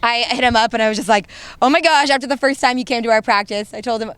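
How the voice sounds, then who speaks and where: A woman's voice: fairly high pitch, fast talker, fairly bright tone, clean tone. A young woman who leads her band, gushing enthusiastically and laughing as she talks. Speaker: band leader and founder. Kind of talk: casual interview chat.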